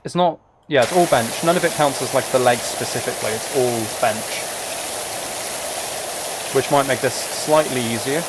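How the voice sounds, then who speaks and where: A man with a mid-pitched voice talks casually on a livestream as he plays a game. He is soft-spoken and addresses his viewers directly. Clear background noise of game ambience and spraying sits behind him. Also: normal speed. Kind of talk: casual livestream chat while playing a game. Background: game ambience and water spray.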